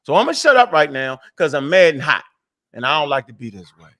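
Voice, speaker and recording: A man's voice: on the high side, sing-song, fairly quick, pushed and bright. A man hosting a casual talk show. He rants hard, swears a lot and trips over many restarts as he goes. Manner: fiery, profane, rambling with many restarts. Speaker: show host.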